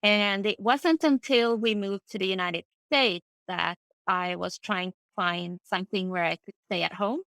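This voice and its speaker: A middle-aged woman from Finland, a native Swedish speaker, answers interview questions in a relaxed and thoughtful way, pausing on fillers and starting over now and then. Her voice is bright and forward. The sound is dry and close, with almost no room echo. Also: medium pitch, gravelly. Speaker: interview guest, freelance translator. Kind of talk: casual conversational interview.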